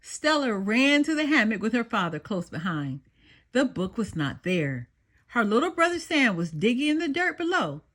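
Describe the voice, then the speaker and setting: Female children's storybook narrator, expressive, higher-pitched, with wide swings in intonation. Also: normal speed. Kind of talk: expressive read-aloud narration.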